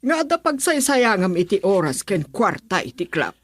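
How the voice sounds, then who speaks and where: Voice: mid-range voice, sing-song, normal speed, fairly bright tone, slightly rough, dry recording. Stern scolding mother, mid voice, dry recording. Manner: scolding, disapproving. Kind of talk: radio drama acting.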